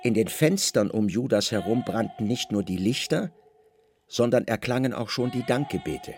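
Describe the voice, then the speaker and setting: A man narrating a literary radio play in a deliberate, dramatic way, with clipped phrases and pauses. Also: mid-range voice, expressive, darkish tone.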